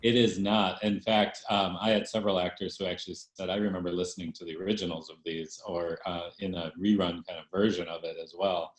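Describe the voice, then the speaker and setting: Low-voiced man in a roomy space. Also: level tone, fairly quick, few pauses. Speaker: theater director, interview guest. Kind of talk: conversational interview answers. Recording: roomy echo, faint music.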